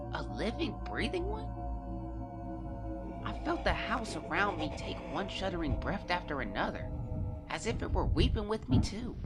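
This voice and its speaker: A woman playing a frightened child who recounts an ordeal in an audio drama, with emotive, dramatic storytelling. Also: low voice. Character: frightened young Cain, a child telling a past ordeal. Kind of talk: dramatic first-person audio drama narration.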